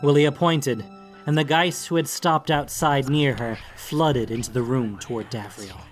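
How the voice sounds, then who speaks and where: Casting: male narrator for dark fantasy fiction, mid-pitched, dramatic storytelling with a soft musical bed. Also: measured pace, faint music behind. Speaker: audiobook narrator.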